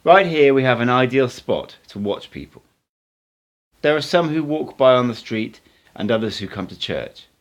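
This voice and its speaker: A man's voice: medium pitch, expressive, normal speed, pitched above the performer's own voice, close to an everyday voice. A man voicing a playful, assured, cryptic male mystic teacher in an audiobook, speaking in emphatic declarations. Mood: pleased. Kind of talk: audiobook character dialogue.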